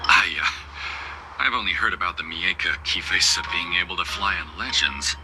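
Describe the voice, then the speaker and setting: An actor playing a skeptical, easily flustered man in a fantasy video game, doubtful and halting in speech. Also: low-pitched, music in the background, close to an everyday voice, low-quality recording. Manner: doubtful, stammering.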